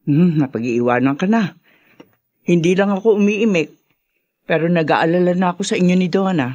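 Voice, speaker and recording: An actress playing a doting, brash middle-aged Filipino mother in a radio drama, expressive and teasing. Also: on the low side, normal speed, no echo, lo-fi sound, natural voice. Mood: teasing, eager.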